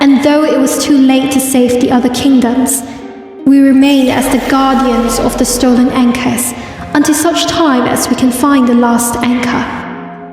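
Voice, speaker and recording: Elder sea-pony sister, female, cartoon dub, woman actor: prim, stern, theatrical, high, heavily echoed. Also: darkish tone, distant mic. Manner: stern, theatrical storytelling, formal. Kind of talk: cartoon voice acting in a comic dub. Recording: very echoey, long reverb, music underneath.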